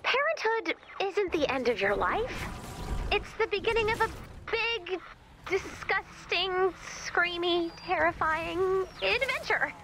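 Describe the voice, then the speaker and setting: An actress playing a brisk, wry, easily exasperated woman in command of a base in an animated video game, with dry sardonic delivery. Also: extremely high pitch, background noise, heavily acted. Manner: dry, sardonic, hesitant when flustered.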